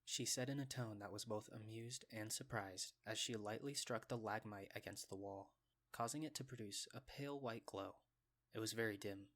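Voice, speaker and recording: A man reading scripted story narration, with a measured, descriptive storytelling delivery. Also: on the low side, fairly bright tone.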